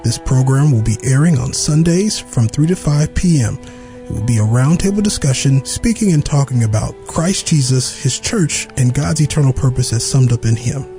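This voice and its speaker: A man reading a scripted radio promo in a measured, inviting way. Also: medium pitch, expressive, dark tone, soft background music.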